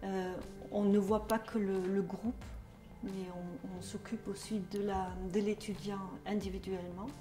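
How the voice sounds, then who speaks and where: A woman's voice: mid-range voice, little pitch movement, a little slow, echoey room, music in the background. A middle-aged woman from Germany speaking with a German accent, talking hesitantly with many fillers and restarts in a testimonial interview as a trainer. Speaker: trainer at a social work school.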